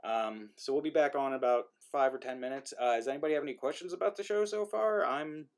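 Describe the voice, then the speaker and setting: A man's voice: mid-range voice, fast talker. A man with a mumbly voice, casually addressing an online audience as the host of a play reading. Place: indoor room, live online stream.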